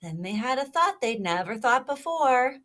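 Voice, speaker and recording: A woman's voice: high voice, sing-song, normal speed, a bit bright. A woman reading a children's story aloud expressively, stopping to prompt her young listeners with questions. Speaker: storybook reader.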